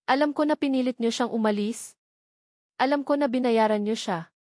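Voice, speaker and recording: A woman's voice: on the high side, normal speed, darkish tone, dry recording, studio quality, voice pushed up, natural voice. A woman voicing an indignant, anguished woman in a story, emphatic and confrontational. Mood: anguished, accusing.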